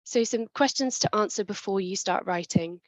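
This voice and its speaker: A woman presenting a webinar talk on writing papers, clear and methodical, with a chest infection coming on. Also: mid-range voice, moderate pace, no room sound, low-quality recording. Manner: clear, methodical, with small restarts.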